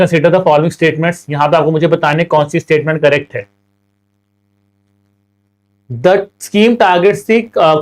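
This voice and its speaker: Indian male tutor, young, somewhat high voice, sore throat, slow reading, fast explaining in Hindi and English. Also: low-quality recording. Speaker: exam prep teacher. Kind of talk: online class solving quiz questions.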